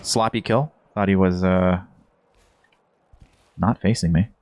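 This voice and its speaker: A man giving deadpan, mock-dignified commentary on his own video game stream in a hushed golf broadcast style. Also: low voice, slow pace, a little hiss or hum.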